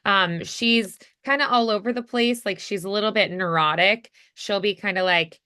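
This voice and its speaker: A woman's voice: medium pitch, moderate pace, sharp tone, clean tone. A woman coaching voice acting in a casual one-on-one lesson, thinking aloud and encouraging as she goes.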